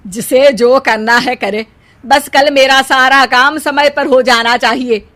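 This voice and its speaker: A woman's voice: sits high, fairly even intonation, slow pace, a bit bright. A woman voicing a haughty, nagging older mother-in-law in a family cartoon, scornful and melodramatic. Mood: irritated. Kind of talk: Hindi family cartoon dubbing.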